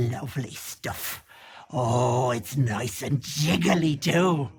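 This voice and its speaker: An actress voicing a wicked old hag with a raspy, cackling voice, taunting with sugary pet names. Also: female voice, very deep voice, extremely slow, darkish tone, exaggerated voice. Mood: menacing, teasing. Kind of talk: video game voice acting.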